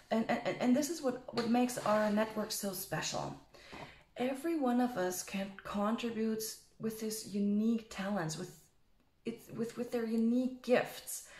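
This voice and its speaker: A woman in her thirties from Switzerland, German her first language, giving a recorded keynote to camera in an earnest, emphatic way with some filled pauses, recorded on a webcam in a small room. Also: mid-range voice, creaky. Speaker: keynote speaker. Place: small room with bookshelf, indoors. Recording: echoey room sound.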